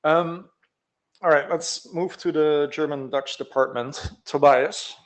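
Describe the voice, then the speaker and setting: A man's voice: fairly high pitch, fairly even intonation, slow pace, poor audio. A man moderating a technical working group meeting and giving informal remarks as a participant.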